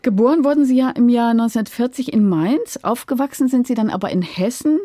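A woman's voice: a little high, quick pace, warm tone, no room sound. A woman with pronunciation, hosting a studio radio interview and putting prepared questions to a guest.

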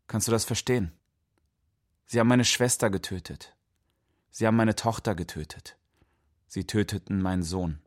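A man's voice: lowish voice, lightly acted. An actor playing a grieving, bitter young man of immigrant family in a radio play. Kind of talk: radio play acting, poetry recital.

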